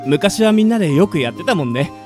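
A man plays a gentle young guy in a radio drama, with a slightly high voice and lively pitch movement. Music is clearly heard behind his casual, considerate lines. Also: quick pace. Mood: easygoing. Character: mild, caring young male friend. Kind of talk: Japanese audio drama acting.

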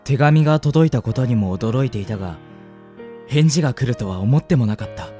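A man's voice: medium pitch, animated, fairly quick, dark tone, faint music behind, close to an everyday voice. A man acting a polite, shy and smitten young college student in a radio drama, speaking and narrating earnestly. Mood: polite, eager.

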